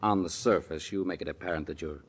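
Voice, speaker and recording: An actor playing a polished but uneasy male executive who hedges with many pauses, in 1940s radio acting style. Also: deep voice. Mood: guarded. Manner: smooth, hesitant, with many uh's.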